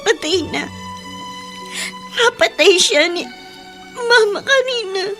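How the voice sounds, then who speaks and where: Radio drama: earnest, troubled Filipina girl, piercingly high pitch, music underneath. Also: lively intonation, slow, pushed and bright, heavily acted. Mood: tearful, distressed. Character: earnest, distressed young Filipina daughter. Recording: clear music bed behind voice.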